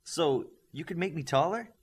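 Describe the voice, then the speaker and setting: Man as wisecracking teen superhero trainee, boy, audiobook character, dry cheeky humour, higher voice. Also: animated, moderate pace, breathy edge.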